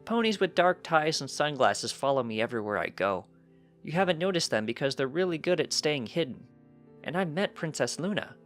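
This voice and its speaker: Man voicing a high-pitched earnest little colt. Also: animated, brisk, a bit bright, faint music behind. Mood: chirpy, matter-of-fact. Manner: earnest, childlike. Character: small precocious winged-unicorn colt, cheerful and earnest.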